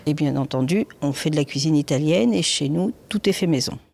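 A woman's voice: low voice, brisk, gravelly, darkish tone. Plain-spoken woman in her sixties. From southern France. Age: 60s. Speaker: restaurant chef interviewed. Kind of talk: casual spoken recollection.

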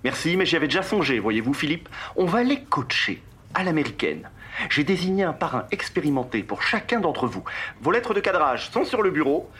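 A man's voice: fairly high pitch, big pitch swings, a little fast, fairly bright tone. An actor playing a vain, irascible male president with a sarcastic, haughty manner in a satirical audio drama.

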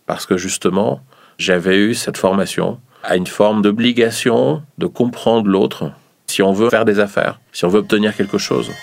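A man, recounting his career in a measured, explanatory interview style. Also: on the low side, gravelly, no room sound. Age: 50s. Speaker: finance professional interviewee. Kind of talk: reflective interview account.

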